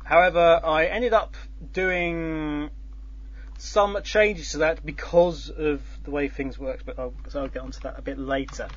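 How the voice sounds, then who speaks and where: A man hosting a casual talk, his voice sitting a little high. He rambles through the explanation, full of ums and restarts and the odd tease. The recording is dull and lo-fi. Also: steady tone, measured pace. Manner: rambling, many fillers and restarts, teasing. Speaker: host. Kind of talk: casual chat explaining a contest's scoring. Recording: dull lo-fi sound.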